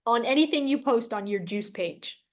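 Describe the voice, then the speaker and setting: A woman with a high-pitched voice hosts a casual interview. She speaks informally and with animation, in a giggly, conversational manner. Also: animated, normal speed. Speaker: interview host. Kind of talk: casual chat.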